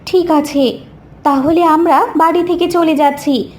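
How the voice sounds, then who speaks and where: A woman's voice: high voice, fairly even intonation, measured pace, darkish tone. A woman voicing a devoted vixen wife and mother, earnest and emotional, in a cartoon fairy tale. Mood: hurt, indignant. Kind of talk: animated fairy-tale character voice.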